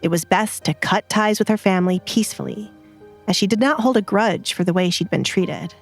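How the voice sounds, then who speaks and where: A woman's voice: mid-range voice, a little fast, faint music behind. A woman reading scripted narration for a dramatic serialized story.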